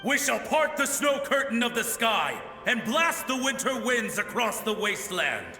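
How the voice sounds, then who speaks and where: A man voicing a grim, desperate ghostly man soldier, proclaiming in a halting way. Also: very high voice, big pitch swings, sharp tone, exaggerated voice. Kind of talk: video game voice acting. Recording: echoey reverb over game music.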